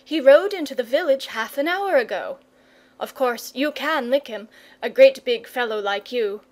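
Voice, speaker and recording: A woman voicing an eager, excitable young boy who speaks with urgent enthusiasm. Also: high-pitched, normal speed. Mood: teasing.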